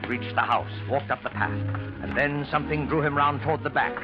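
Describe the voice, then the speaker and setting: An actor playing a suspenseful storyteller, delivering dramatic scripted narration in a 1940s radio style. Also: male voice, fairly high pitch, brisk, lightly acted. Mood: ominous. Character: suspenseful male storyteller voicing uneasy thoughts. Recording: muffled old radio broadcast. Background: loud dramatic music.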